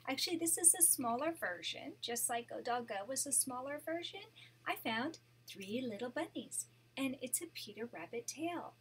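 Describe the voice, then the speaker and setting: An older woman, a grandmother, reading a children's story aloud to her grandchild, animated and pausing to point out the pictures. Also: high-pitched, a little hiss or hum.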